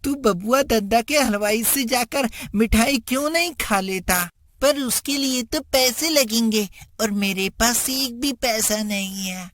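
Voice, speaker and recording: A woman's voice: fairly high pitch, unhurried, a bit bright, no echo. A woman voicing an innocent, earnest young schoolboy in a children's cartoon story.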